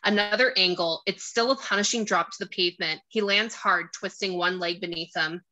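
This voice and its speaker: Bright, forceful female narrator. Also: medium pitch, a little fast, poor audio. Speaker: narrator reading stage directions. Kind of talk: scripted screenplay narration.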